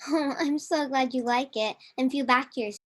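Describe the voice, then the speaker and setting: A young-sounding actress playing a kindly, motherly baker in a live radio drama, gentle and coaxing. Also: sits high, a little fast, dry recording. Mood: pleased, modest. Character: kindly, motherly woman baker nursing a patient.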